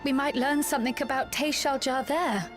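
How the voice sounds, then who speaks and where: An actress plays a young woman, a gentle and inquiring companion in a game story. Her voice is high and thoughtful. A little music plays behind her. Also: moderate pace, close to an everyday voice. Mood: curious. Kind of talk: video game cutscene acting.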